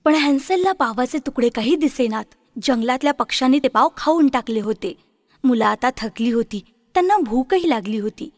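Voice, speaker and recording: A woman's voice: sits high, animated, normal speed, no room sound, clean studio sound. A woman reading a scripted fairy tale for children as the storyteller.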